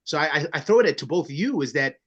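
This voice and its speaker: A man's voice: a little high, animated, quick pace, fairly bright tone. A man from the Bronx, co-hosting a community interview and telling stories emphatically, often repeating words. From the Bronx, New York. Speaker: co-host. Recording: dull lo-fi sound.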